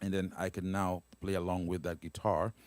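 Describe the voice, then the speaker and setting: A man's voice: deep voice, flat delivery, a little mellow, no room sound. A man casually demonstrating a keyboard's sounds, explaining step by step and often checking in with "right?" and "okay?". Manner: halting, with fillers and restarts. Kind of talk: casual instrument demo. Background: keyboard pads and guitar playing.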